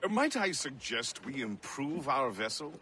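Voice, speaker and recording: An actor playing a jovial, eager first mate in a video game, chatty and theatrical. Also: male voice, mid-range voice, big pitch swings, unhurried, pushed and bright, a little hiss or hum.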